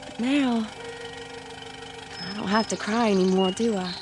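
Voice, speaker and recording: An actress playing a shy, earnest young country sweetheart with a rural Southern American accent in a radio drama. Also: female voice, medium pitch, measured pace, over music, lightly acted. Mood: tender, apologetic. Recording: loud engine-like noise behind.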